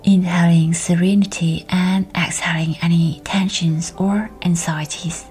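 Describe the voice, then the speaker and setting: Female meditation guide: low, hushed and breathy, very even delivery, faint music underneath. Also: a little slow.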